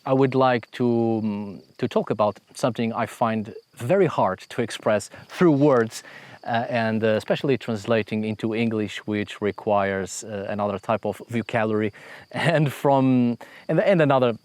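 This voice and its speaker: A man from Portugal with a Portuguese accent, speaking in a thoughtful, soft-spoken way with many pauses and fillers. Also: medium pitch, no echo. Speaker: host of a folk magic channel. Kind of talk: reflective spoken talk.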